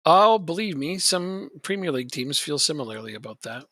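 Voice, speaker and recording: A man's voice: fairly high pitch, animated, moderate pace, a bit bright, no room sound, clean studio sound. A man chatting casually on a live stream while playing a football management game, rambling and joking and chuckling often.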